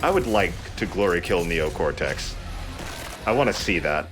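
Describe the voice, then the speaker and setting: Male game commentator, lowish and expressive, chatty and explanatory, light music behind. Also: normal speed. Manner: explains game mechanics as he plays. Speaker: let's play host. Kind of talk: casual gameplay commentary.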